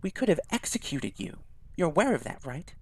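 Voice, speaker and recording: A man's voice: fairly high pitch, big pitch swings, fairly quick, husky, no room sound, pitched above the performer's own voice, full character voice. A man voicing a stern, self-righteous woman priestess who scolds coldly. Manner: cold, reproachful.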